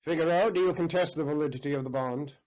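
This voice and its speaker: An actor playing a formal small-court judge delivering rulings in an audio play. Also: male voice, a little high, fast talker, darkish tone, close to an everyday voice, rough recording. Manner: formal legalistic pronouncing. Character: pompous male judge of a country court.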